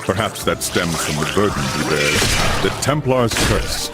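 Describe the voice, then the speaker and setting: An actor playing a solemn middle-aged knight, a father narrating his family's saga in a grave, measured storyteller's manner for a game. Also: low voice, expressive, loud music behind the voice. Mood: grave storytelling. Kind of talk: dramatic scripted narration.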